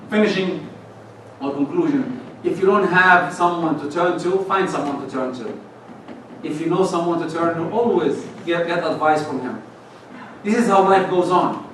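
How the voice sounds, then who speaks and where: A man giving a sermon in a mosque, moving between loud exhortation and soft pleading. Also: a little high, a little hiss or hum. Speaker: imam giving a Friday sermon. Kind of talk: sermon to a congregation. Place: mosque prayer hall. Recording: echoey hall, mic far off.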